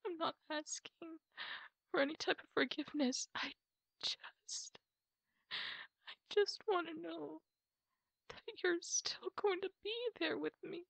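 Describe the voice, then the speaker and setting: A woman playing an apologetic, emotional girlfriend in an intimate ASMR roleplay, speaking softly and haltingly. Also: very high voice, dry recording, full character voice, rough recording. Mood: tearful pleading. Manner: halting, soft, breathy delivery with pauses. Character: apologetic, tearful girlfriend pleading to make up. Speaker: roleplay audio performer.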